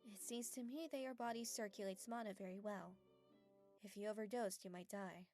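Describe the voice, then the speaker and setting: A woman voicing a gentle, earnest young fantasy heroine in an anime fan dub, speaking in a measured, hesitant way. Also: mid-range voice, fairly even intonation, no echo, soft background music, close to an everyday voice. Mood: calm, explaining.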